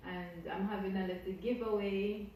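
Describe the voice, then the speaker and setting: A woman's voice: medium pitch, steady tone, normal speed, mellow, very echoey, recorded from a distance, slight background noise, rough recording. A Jamaican woman vlogging casually to her camera, effusive and repetitive as she piles up her thanks. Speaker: YouTuber. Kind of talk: casual vlog talk to camera.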